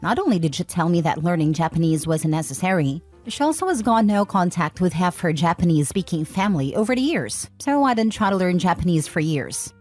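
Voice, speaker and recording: A woman reading forum posts aloud as scripted narration, in an even, steady way. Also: fairly low pitch, a little fast, few pauses. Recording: faint background music.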